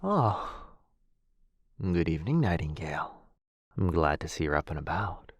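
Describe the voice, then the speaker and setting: A man playing a courteous, gentle, centuries-old vampire lord in a soft, breathy ASMR roleplay, hesitant and genteel in manner. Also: low voice, very animated, measured pace, no room sound, heavily acted. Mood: warm, courteous. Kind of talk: boyfriend ASMR roleplay acting.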